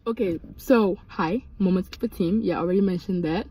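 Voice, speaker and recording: A woman's voice: fairly low pitch, a little fast, mellow, husky, dry recording, poor audio. A woman of twenty from Mali, raised in Senegal, telling a story in a chatty vlog from her car, swearing casually and breaking into asides. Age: 20s. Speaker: vlogger. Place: inside a parked car.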